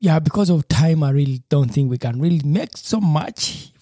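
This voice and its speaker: A man with a Ugandan accent, hosting a podcast chat and speaking haltingly with frequent restarts. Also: on the high side, animated, warm tone, no room sound. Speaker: podcast host. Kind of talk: casual interview chat.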